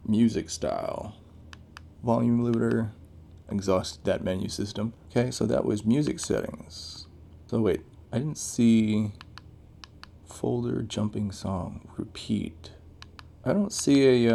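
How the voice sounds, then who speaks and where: Male tech reviewer, low and gravelly with a mellow tone, unscripted and conversational, thinking out loud. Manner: off-the-cuff, thinking aloud while reading out menus. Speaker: gadget reviewer, host. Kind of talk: casual unboxing, first-impressions commentary.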